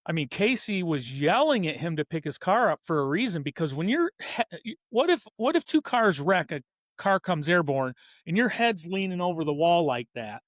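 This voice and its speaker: A man's voice: a little high, very animated, normal speed, dry recording, clean studio sound. A man in his fifties hosting a casual, opinionated hobby show, talking earnestly in a rambling way and correcting himself as he goes. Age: 50s. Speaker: host of a hobby racing show. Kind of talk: casual opinionated talk about hobby racing.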